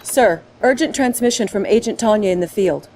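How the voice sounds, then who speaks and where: A woman's voice: mid-range voice, animated, a little fast, darkish tone, a little hiss or hum, lightly acted. A woman playing a composed, businesslike military intelligence officer in a video game cutscene. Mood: composed, urgent. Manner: crisp, businesslike briefing.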